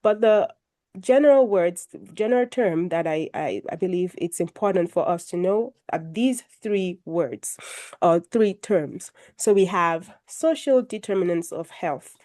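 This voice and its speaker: Slightly croaky woman hosting a video call. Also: lowish voice, lively intonation, unhurried. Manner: warm, formal introductions, light self-deprecating humor. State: recovering from a cold, a bit croaky. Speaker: moderator of an online health discussion. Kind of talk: hosting a video call panel, presenting slides.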